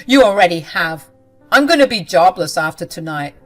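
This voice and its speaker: A woman voicing a furious husband caught cheating, shouting indignantly. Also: lowish voice, lively intonation, normal speed, faint music behind.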